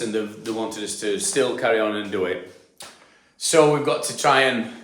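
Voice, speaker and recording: A middle-aged Yorkshire man talks you through a damp job in a house stripped back to bare walls. He speaks slowly and rambles with fillers and false starts, and his voice bounces around the empty room. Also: lowish voice, a bit bright, reverberant. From Yorkshire, England. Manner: rambling, explanatory, many fillers and restarts. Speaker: damp proofing specialist. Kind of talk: casual on-site walkthrough. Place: house being stripped for damp work, indoors.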